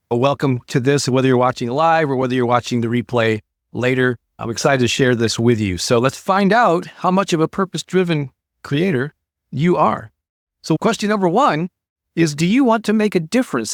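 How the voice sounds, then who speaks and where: A man's voice: fairly high pitch, no room sound, clean studio sound. An older man hosting a motivational talk for an online audience, speaking in a conversational, encouraging way and stressing key words. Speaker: host, motivational creativity coach.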